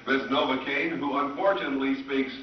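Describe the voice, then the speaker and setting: An actor playing a formal, hesitant radio interviewer who bumbles through announcements in a comedy sketch. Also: male voice, mid-range voice, steady tone, fairly quick, recorded from a distance, close to an everyday voice. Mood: formal, bumbling. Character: flustered male radio interviewer, mock-solemn and formal. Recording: old radio clip over echoey hall speakers, muffled, noisy.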